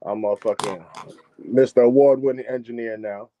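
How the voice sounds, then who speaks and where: A man's voice: medium pitch, steady tone, normal speed, lo-fi sound, slight background noise. A man, a music engineer talking casually as a guest on a live-streamed interview, often repeating and restarting his phrases. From Chicago, United States. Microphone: video call.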